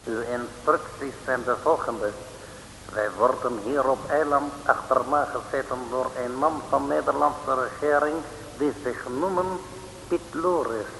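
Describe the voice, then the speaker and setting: An actor playing a stern, secretive gang boss with a foreign accent, giving formal orders in broken grammar for a radio serial. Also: male voice, mid-range voice, a little slow, gravelly, a bit bright. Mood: commanding, deliberate. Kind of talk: 1960s Dutch radio serial acting. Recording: echoey, reverberant old radio recording, hiss.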